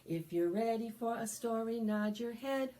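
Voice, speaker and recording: A woman presenting storytime for young children, singing and reading aloud in an animated, sing-song way that coaxes them to join in. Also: fairly high pitch, normal speed. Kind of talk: interactive preschool storytime, singing and read-aloud.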